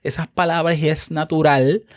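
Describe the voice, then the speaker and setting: A man from the Dominican Republic with a Dominican Spanish accent, hosting a podcast and teaching speaking tips in a casual, conversational way, often checking in with a quick "¿ya?". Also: on the high side, level tone. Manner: conversational, tag questions like "¿ya?". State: a bit out of breath. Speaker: podcast host. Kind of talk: instructional podcast monologue.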